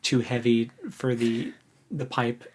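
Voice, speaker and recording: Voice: medium pitch, little pitch movement, takes it slowly, over a noisy crowd. A podcast co-host in a casual book discussion, recapping informally in loose, slangy language.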